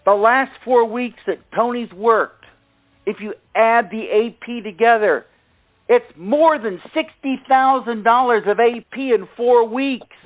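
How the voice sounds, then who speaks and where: A man hosting a sales conference call, giving an emphatic, deliberate motivational introduction that repeats figures for effect. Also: very high voice. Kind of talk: scripted motivational introduction of a top seller. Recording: tinny phone-line conference call.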